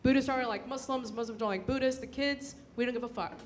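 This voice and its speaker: A woman's voice: on the high side, steady tone, fast talker. A young American woman performing stand-up comedy in a casual, slangy, profane way, acting out bits of dialogue. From grew up in San Diego, USA. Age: young adult. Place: live comedy show before an audience. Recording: live show with loud audience noise.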